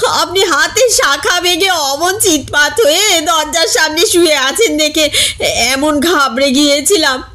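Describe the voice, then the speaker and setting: Woman acting high, sobbing, flustered daughter-in-law. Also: a little slow, pushed and bright. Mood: tearful pleading. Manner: tearful, pleading. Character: flustered, weeping daughter-in-law pleading innocence.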